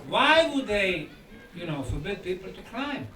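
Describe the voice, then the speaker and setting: A man giving a conference lecture in short, deliberate phrases with dramatic pauses and rhetorical questions. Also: sits high, sing-song, bright, forceful tone. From Bosnia. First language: Bosnian. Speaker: lecturer and researcher. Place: indoor lecture room at a conference. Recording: echoey room, distant mic, faint noise.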